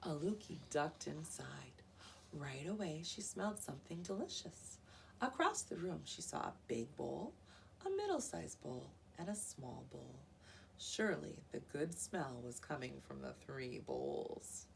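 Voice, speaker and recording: Animated woman reading aloud, slightly creaky voice. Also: deep voice, measured pace, far from the mic, a little hiss or hum. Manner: expressive, prompting listeners with questions. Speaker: preschool teacher. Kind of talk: animated read-aloud of a picture book to young children.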